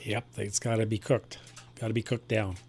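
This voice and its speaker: A man's voice: fairly low pitch, level tone, moderate pace, heavy background noise. A man talking casually in a group conversation on amateur radio. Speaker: amateur radio net participant.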